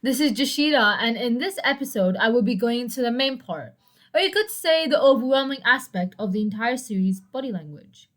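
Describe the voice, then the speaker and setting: A young woman with a hoarse, dry voice hosting a solo educational podcast, chatty and prone to self-deprecating asides. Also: a little high, expressive, a little fast. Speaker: podcast host.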